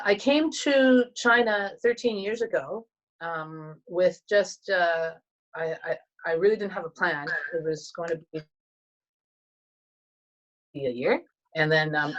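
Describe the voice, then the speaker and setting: Woman, from Canada, thirties, low-pitched, soft-spoken, hesitant, casual chat. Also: muffled recording. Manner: soft-spoken, reflective, many fillers and restarts. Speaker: guest, teacher and coach. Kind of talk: casual conversation.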